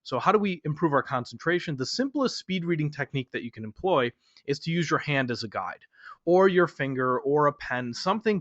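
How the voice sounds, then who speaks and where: A man in his thirties from Chicago, first language Polish, giving a lesson in a relaxed, chatty way, often restarting his sentences. His voice sits at an ordinary middle pitch. The recording is dry and a little dull. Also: no room sound. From Chicago, USA. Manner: conversational, loose, with fillers and restarts. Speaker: speed reading instructor. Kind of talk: lecture to a class.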